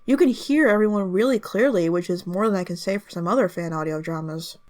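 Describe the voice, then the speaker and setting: A woman's voice: lowish voice, fairly quick, a little mellow. A woman narrating a review in the style of an online video commentary.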